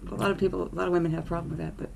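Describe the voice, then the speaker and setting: A middle-aged woman co-host with a gravelly, creaky edge to her voice. She rambles and laughs easily through a relaxed chat. Faint background noise sits behind her. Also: on the low side, racing. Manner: giggly, rambling, laughs often. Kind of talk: casual chat.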